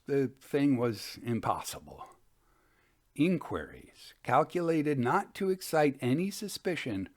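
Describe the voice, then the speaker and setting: A man hosting and reading Victorian ghost stories in a measured, expressive storytelling manner. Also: mid-range voice. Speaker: podcast host and reader of ghost stories.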